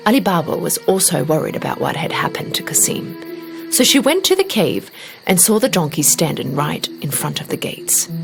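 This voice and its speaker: A woman reading a scripted children's fairy tale as an expressive storybook narrator. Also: on the low side, vocal fry.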